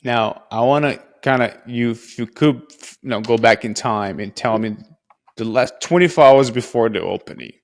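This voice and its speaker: A man's voice: mid-range voice, normal speed. A man interviewing a guest, asking loose, rambling questions full of fillers.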